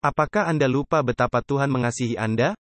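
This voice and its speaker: A man's voice: medium pitch, quick pace, fairly bright tone, dry recording. A man preaching a dubbed sermon earnestly, with emphatic rhetorical questions put to his listeners.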